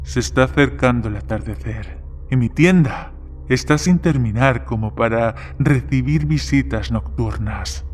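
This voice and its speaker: A man's voice: mid-range voice, unhurried, lightly acted. A man playing guarded male characters in the dialogue of an audio horror story. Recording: background music under the voice. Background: music.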